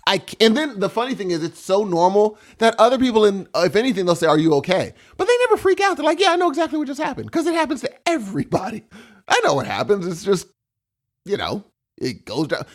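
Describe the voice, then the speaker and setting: A man co-hosts a podcast in loose, casual chat. His voice sits a bit high and swings widely up and down as he rambles and jokes. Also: fast talker. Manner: animated, rambling, joking. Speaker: podcast co-host.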